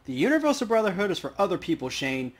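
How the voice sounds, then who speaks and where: A man's voice: high voice, very animated, fast, pitched above the performer's own voice. A man voicing a tough, jaded elf woman bartender, curt and streetwise, reading video game dialogue aloud. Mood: defiant.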